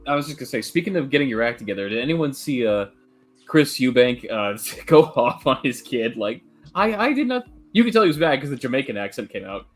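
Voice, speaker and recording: A man on a casual boxing talk panel, making quick, joking asides. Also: mid-range voice, sing-song.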